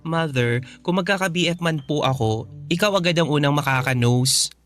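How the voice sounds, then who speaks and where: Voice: medium pitch, normal speed, a bit bright, close to an everyday voice. An actor with a Filipino accent playing a sassy, devoted college-age youngster, cheeky and dramatic, in a radio drama. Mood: coy, playful. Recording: music under the voice.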